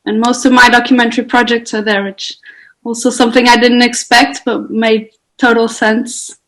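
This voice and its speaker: A woman's voice: on the high side, flat delivery, normal speed, fairly bright tone. Young woman, reflective. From Portugal. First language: Portuguese. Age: 20s. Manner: reflective, searching for words, light laughter. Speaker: guest, photographer. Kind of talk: conversational podcast interview.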